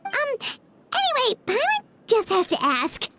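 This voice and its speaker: Squeaky, excitable fairy companion, very high-pitched. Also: female voice, a little slow, very noisy, exaggerated voice. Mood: puzzled, curious. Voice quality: squeaky, childlike. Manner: refers to herself in third person, exclamatory, teasing. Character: small excitable female fairy companion, childlike, cheeky. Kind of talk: English video game dub acting. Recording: music behind the voice. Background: playful game music.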